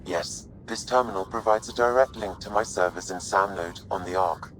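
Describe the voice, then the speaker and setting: A man voicing a polite, literal-minded starship artificial intelligence in a video game, measured, formal and deadpan. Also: fairly low pitch, slight background noise, close to an everyday voice, rough recording. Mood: calm, formal.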